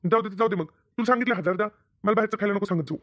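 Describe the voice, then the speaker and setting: A woman's voice: mid-range voice, very fast, close to an everyday voice. A woman voicing an exasperated, nagging village husband in a comedy cartoon, with a rural Maharashtrian Marathi accent. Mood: irritated refusal. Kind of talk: comic cartoon dialogue.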